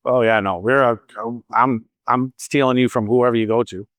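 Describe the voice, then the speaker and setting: A man's voice: medium pitch, steady tone, normal speed, fairly bright tone, no room sound. Man in his fifties, Boston accent, salon owner, chatty storyteller, dry close sound. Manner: chatty storyteller with wry asides. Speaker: salon owner answering audience questions. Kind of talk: casual Q&A talk at a training event.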